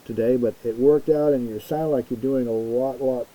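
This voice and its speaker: Very dark-voiced hesitant male host. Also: mid-range voice, steady tone, normal speed, a little hiss or hum, rough recording. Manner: hesitant with fillers and repeats. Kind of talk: casual interview.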